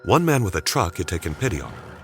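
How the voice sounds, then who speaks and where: A man narrating a scripted story dramatically, with suspenseful, deliberate phrasing. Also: sits low, wildly swooping pitch, gravelly, faint music behind.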